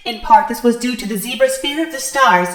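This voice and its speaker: A woman's voice: fairly high pitch, brisk, fairly bright tone, close to an everyday voice. A woman playing a detached, formal facility overseer in an audio drama, clipped and emotionless, with an echoing sound. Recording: echoing, roomy voice.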